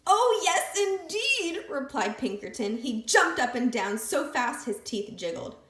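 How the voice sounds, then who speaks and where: A woman reading a picture book aloud with expressive, lively storytelling. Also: fairly high pitch, normal speed. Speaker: storybook reader. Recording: echoey room.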